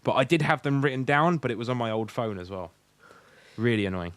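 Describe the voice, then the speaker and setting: British bloke chatting, close dry sound. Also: male voice, mid-range voice, expressive, a little fast, clear, resonant tone, no room sound. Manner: sweary, jokey, rambling. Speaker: podcast co-host. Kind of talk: casual banter between friends.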